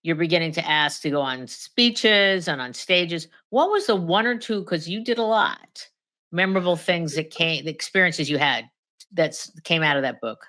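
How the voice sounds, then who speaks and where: A woman with a lowish voice hosting a casual interview. She asks her questions and backs up her guest with quick, eager agreement. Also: expressive, sharp tone. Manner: encouraging, quick to affirm.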